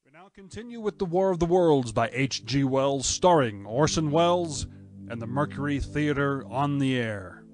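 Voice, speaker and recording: A man's voice: medium pitch, animated, a little mellow, lightly acted. Formal man as a 1930s radio announcer. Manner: formal, measured.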